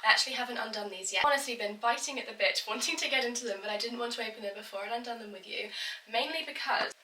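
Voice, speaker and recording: A woman's voice: fairly high pitch, very fast, bright, forceful tone, slight background noise. Gushing woman with a cold. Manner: gushing, effusive. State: stuffy voice from a cold. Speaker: vlogger. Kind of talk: sponsored unboxing chat. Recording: echoey room, voice from a distance.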